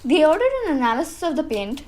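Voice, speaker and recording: A young woman playing a brisk, dismissive office clerk in a high school radio drama. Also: sing-song, a little mellow, slight background noise.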